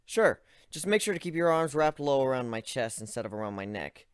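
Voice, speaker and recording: A man's voice: mid-range voice, sing-song, a little fast, smooth, dry recording, studio quality, natural voice. A man voicing an easygoing young male griffin who talks in a joking, offhand way. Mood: casual.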